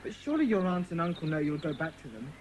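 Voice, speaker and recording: A lively, brisk schoolboy played by a woman, confident and a little bossy as leader of his club. The delivery is authoritative, in a children's audio drama, over clear background noise. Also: low-pitched. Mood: inquiring, reassuring.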